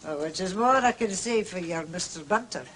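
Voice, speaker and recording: A woman's voice: on the low side, lively intonation, normal speed, a bit bright. An actress playing a chatty, indignant landlady who is deferential and protesting in a period drama. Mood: flustered, polite.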